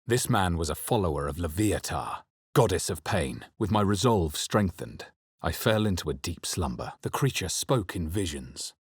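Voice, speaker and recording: A man playing a brooding adventurer who narrates a fantasy video game in the first person, solemn, deliberate and literary. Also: deep voice, lively intonation, slightly rough, no echo, clean studio sound. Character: brooding male adventurer narrating his own dark memories. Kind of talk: dramatic scripted fantasy narration.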